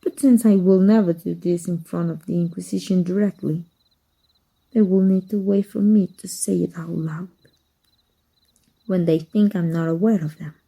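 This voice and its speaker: A woman playing a husky, secretive dark magic teacher. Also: fairly low pitch, steady tone, poor audio. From Mexico. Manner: soft, measured, drops to whispers. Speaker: voice actor. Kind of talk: ASMR roleplay.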